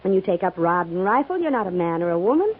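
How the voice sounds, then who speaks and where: A woman's voice: on the low side, very animated, fast talker. An American woman acting a self-reliant, playfully teasing frontier woman in a 1950s radio drama, with a wry, easygoing manner. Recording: muffled 1950s radio recording, faint noise.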